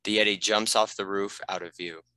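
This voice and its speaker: Male narrator for script directions, deep and crisp-edged, scripted delivery. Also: steady tone, fairly quick, sharp tone, no room sound. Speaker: narrator reading stage directions.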